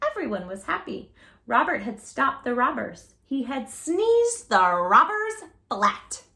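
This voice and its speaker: A woman reading a children's picture book aloud as a storytime host, theatrically, with drawn-out words and little asides to the listener. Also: sits high, big pitch swings, pushed and bright.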